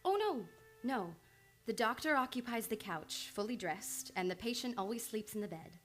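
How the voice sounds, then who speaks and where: A woman's voice: a little high, expressive, moderate pace, close to an everyday voice. Woman playing an earnest young female psychoanalyst. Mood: prim, flustered. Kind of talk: live old-time radio play acting.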